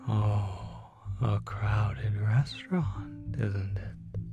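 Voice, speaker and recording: A performer voicing a teasing, smooth-talking demon in an audio roleplay, murmuring slowly and condescendingly to the listener. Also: deep voice, steady tone, recorded from a distance, muffled recording, over music, exaggerated voice. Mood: teasing, coaxing. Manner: slow, drawn-out, breathy murmuring, teasing.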